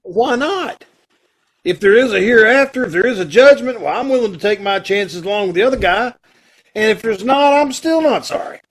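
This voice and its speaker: A man's voice: high voice, expressive, moderate pace, poor audio. A man acting a stubborn, unrepentant condemned murderer in an old-time radio play, plain-spoken and stoic. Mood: defiant. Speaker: amateur radio-troupe actor.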